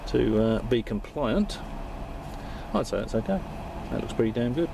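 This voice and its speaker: A man's voice: medium pitch, animated, moderate pace, creaky, noisy background. A man chatting casually and talking on air as an amateur radio operator, with a hesitant, dryly joking manner. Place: vehicle outdoors in Perth suburbs. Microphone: handheld camera.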